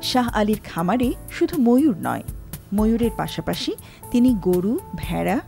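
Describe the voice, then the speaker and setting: A woman's voice: medium pitch, expressive, moderate pace, gravelly. Female Bangladeshi feature narrator, scripted read, very varied intonation, prominent music bed.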